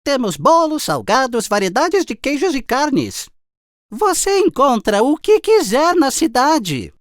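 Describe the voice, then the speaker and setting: A woman's voice: high voice, big pitch swings, measured pace, no room sound, studio quality. A woman with a Brazilian accent voicing a boastful, disdainful male city mouse in a children's cartoon fable. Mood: snobbish, boastful.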